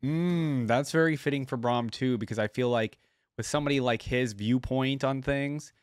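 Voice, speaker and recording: A man's voice: mid-range voice, normal speed, clear, resonant tone, no room sound. A man hosting a casual reaction video, chatty and enthusiastic with frequent appreciative interjections.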